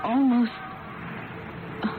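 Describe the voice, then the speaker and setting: Distressed, pleading woman, tinny radio drama. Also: extremely slow. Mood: suspicious, insistent. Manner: pleading, insistent questioning. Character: distressed, honest woman confronting her deceitful male companion. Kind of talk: old-time radio drama acting. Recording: tinny narrowband old radio recording, noisy.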